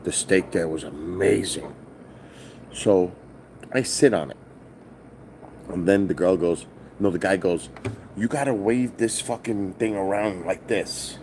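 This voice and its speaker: A middle-aged man from New with a loud voice, telling a rambling, profane story on a casual stream from his hotel. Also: low voice, a little slow, long pauses, slight background noise. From New York. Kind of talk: casual live-stream vlog. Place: hotel, indoors.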